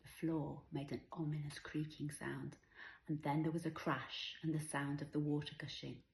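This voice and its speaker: A woman's voice: low-pitched, flat delivery, normal speed, gravelly, reverberant, poor audio. A woman reading her own novel aloud as scripted story narration.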